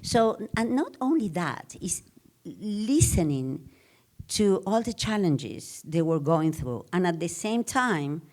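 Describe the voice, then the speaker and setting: Middle-aged woman with a Spanish accent, her voice sitting a bit low. She answers in an earnest, measured way, pausing to reflect between thoughts. It sounds like a live podcast interview. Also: sing-song, darkish tone. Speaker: guest.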